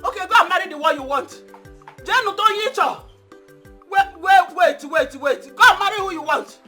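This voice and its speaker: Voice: extremely high pitch, normal speed, pushed and bright, faint music behind. An actor playing an overwrought relative who wails between laughing and crying. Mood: frantic. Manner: wailing, half crying, half laughing. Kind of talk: film acting.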